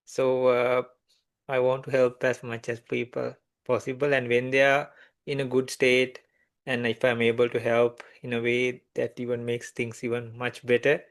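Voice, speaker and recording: A man whose first language is Sinhala, a meditation teacher answering gently and hesitantly, with many fillers. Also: medium pitch, little pitch movement, normal speed. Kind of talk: conversational interview answers.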